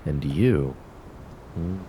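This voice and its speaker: A man voicing a deep, menacing kitsune fox spirit. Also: lively intonation, mellow, slight background noise, exaggerated voice. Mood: mocking menace. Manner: taunting, theatrical, drawn-out predatory purr. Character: menacing, mocking kitsune fox spirit who hunts humans in the woods. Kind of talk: ASMR roleplay monologue.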